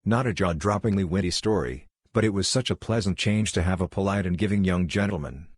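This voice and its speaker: Deep husky man reading posts. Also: fairly quick, no echo. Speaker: reader of forum posts. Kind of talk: reading stories aloud.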